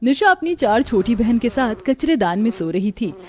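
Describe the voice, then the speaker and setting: A woman's voice: fairly high pitch, big pitch swings, moderate pace, darkish tone. A woman reading a scripted dramatic story as its narrator.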